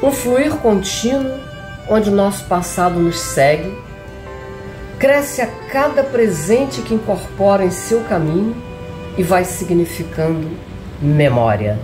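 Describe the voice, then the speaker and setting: A woman reading a poetic text aloud in a solemn, declamatory way with measured phrasing. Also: medium pitch, expressive. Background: music.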